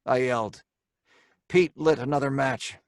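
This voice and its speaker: Actor as Stanley, hard-boiled radio crook, dry sound. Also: male voice, mid-range voice, unhurried, no room sound, lightly acted. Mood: tense narration. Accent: American. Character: Stanley, hard-boiled male crook narrating his own doom. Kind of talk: old-time radio horror acting and first-person narration.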